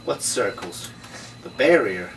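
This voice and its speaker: A man's voice: lowish voice, animated, takes it slowly, vocal fry, exaggerated voice. A man playing a world-weary, middle-aged 1930s detective, narrating wryly and slangily at a table read. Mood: baffled, wry. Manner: wry, slangy. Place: room at a script table read. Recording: distant mic, faint background noise.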